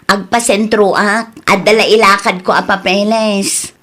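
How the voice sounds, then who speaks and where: A woman's voice: mid-range voice, steady tone, measured pace, bright, forceful tone. A woman playing a caring, fussy village wife in a radio comedy drama, coaxing and mildly nagging, with a Filipino accent. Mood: guarded.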